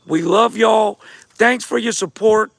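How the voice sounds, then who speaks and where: Gravelly hoarse man, high voice. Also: unhurried, fairly bright tone, no echo, studio quality. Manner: laid-back, repeats himself, talks straight to viewers. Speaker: host of a fight show answering viewer questions. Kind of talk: casual livestream chat.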